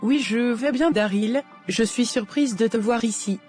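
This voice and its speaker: A woman's voice: a little high, unhurried, darkish tone, soft background music, natural voice. A woman voicing a frank young cartoon friend. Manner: direct, sympathetic.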